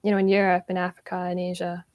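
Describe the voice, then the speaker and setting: A woman's voice: fairly low pitch, monotone, very fast, a little mellow, no echo, studio quality. A young woman in casual podcast chat, agreeable and asking questions.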